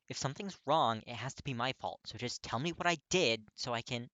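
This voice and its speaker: A man voicing a gruff, hot-tempered young man awkwardly confessing his feelings in an audiobook, blunt, halting and strained. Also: medium pitch, bright, forceful tone, no room sound, voice pushed up, natural voice. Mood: demanding. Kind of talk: dramatic audiobook character reading.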